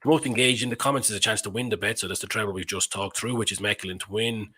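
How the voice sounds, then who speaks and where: A man's voice: lowish voice, fairly even intonation, rapid-fire, sharp tone, husky. A man hosting a casual live sports betting stream, talking straight to viewers, and his voice sounds nasal today. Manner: chatty, addresses viewers directly. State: nasal-sounding, has a cold. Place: in Belgium.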